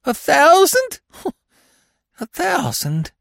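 Sulky, cheeky small-time thief played by a male narrator, pitched high and very animated, uneducated speech, for an audiobook crime story. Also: normal speed, gravelly, dry recording, clean studio sound, exaggerated voice. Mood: astonished, dazed. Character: impudent, sullen, cunning male pickpocket, easily startled.

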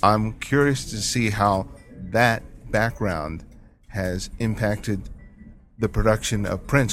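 A man's voice: fairly low pitch, slight background noise. A man hosting a podcast who asks long, rambling interview questions full of restarts. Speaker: podcast host.

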